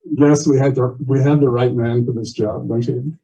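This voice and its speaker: Man, meeting chair, informal announcements, halting, very dark muffled sound. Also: mid-range voice, steady tone, gravelly. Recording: muffled, dull meeting audio.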